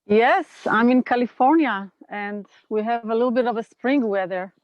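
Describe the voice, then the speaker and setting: A woman's voice: on the high side, dry recording, lo-fi sound. A woman in her fifties with an Israeli accent, a guest speaking thoughtfully and unhurriedly about her path in an interview over a video call. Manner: thoughtful, unhurried, with pauses and fillers. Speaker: guest, NVC trainer. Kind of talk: reflective interview talk. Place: home in California.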